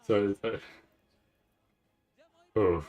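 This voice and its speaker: A man doing casual livestream reaction commentary, rambling and stammering over repeated words with a nervous, excitable manner. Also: lowish voice, extremely slow, phone-quality sound. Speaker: streamer reacting to a live anime event.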